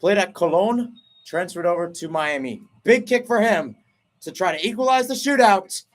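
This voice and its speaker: A young man doing excited play-by-play commentary of a soccer penalty shootout, with his pitch and volume leaping at each kick. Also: moderate pace. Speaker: radio play-by-play announcer. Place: at home, not at the stadium.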